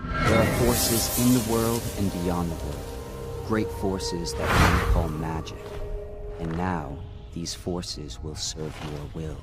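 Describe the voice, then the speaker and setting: An actor playing a calm, enigmatic supernatural being from a video game, speaking in a measured, solemn, cryptic way. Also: male voice, sits low. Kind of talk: scripted video game monologue. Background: music.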